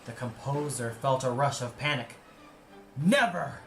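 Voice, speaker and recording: A man's voice: mid-range voice, a little slow. A man narrating an audio play as a dramatic, scripted storyteller. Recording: echoey, with noise behind. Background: ambient sound effects.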